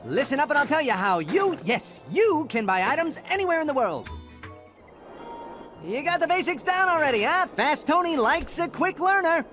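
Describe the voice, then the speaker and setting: An actor voicing a slick male animal salesman with smooth, pushy patter in a video game cutscene. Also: high voice, big pitch swings, normal speed. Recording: music under the voice.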